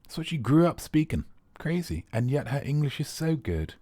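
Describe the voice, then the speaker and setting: A man's voice: on the high side, sing-song, normal speed, warm tone, no echo. A man hosting a live comedy quiz, rambling and playful with teasing asides to the players. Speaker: quiz host.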